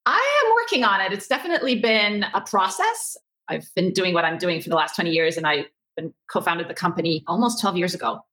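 A woman from Egypt giving conversational, animated answers as an interview guest. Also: fairly low pitch, a little fast, poor audio. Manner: animated, expressive. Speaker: interview guest, tech company co-founder.

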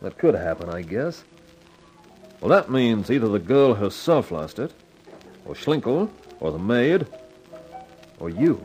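Low-voiced hardboiled radio hero, animated. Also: male voice, a little slow, long pauses, faint music behind, rough recording. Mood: suspicious. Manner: slangy, wry, blunt. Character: wisecracking, hardboiled American man, brash and suspicious. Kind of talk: 1950s radio mystery acting and narration.

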